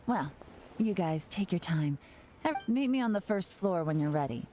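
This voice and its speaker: A woman's voice: fairly low pitch, lively intonation, normal speed, natural voice. A woman playing a cool, confident covert agent in a video game, speaking in a wry, teasing, self-assured way. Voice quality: mellow. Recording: faint background noise.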